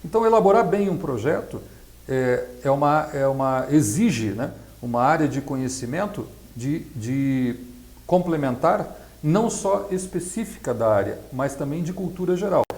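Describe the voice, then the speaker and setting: A Brazilian man giving explanatory interview answers as a specialist, in a measured, didactic way. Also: a little high, animated, a little mellow. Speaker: accountant and project specialist being interviewed. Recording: echoey, roomy sound.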